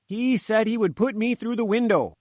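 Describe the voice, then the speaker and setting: A man doing a high sputtering little reporter. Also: normal speed, a little mellow, no room sound, studio quality, lightly acted. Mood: pleading, cheeky. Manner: plaintive, sputtering, cheeky. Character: fat little pushy male reporter.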